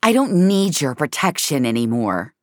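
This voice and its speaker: A woman acts out a hardened female agent on the run in audio fiction. Her voice is very low and dry, and she is defiant, urgent and desperate. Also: very animated, normal speed, dry recording. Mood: cold, defiant. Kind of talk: dramatic audio fiction acting.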